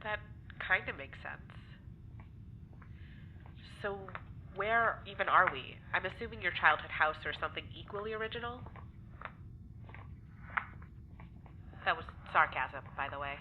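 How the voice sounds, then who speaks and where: A woman's voice: fairly low pitch, animated, normal speed, lightly acted. An actress playing a sarcastic, abrasive and unrepentant woman in an audio drama, delivered dryly and bluntly. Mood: cold, sarcastic. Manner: dry, sardonic, blunt. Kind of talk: naturalistic audio drama acting. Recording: muffled lo-fi sound with clear noise.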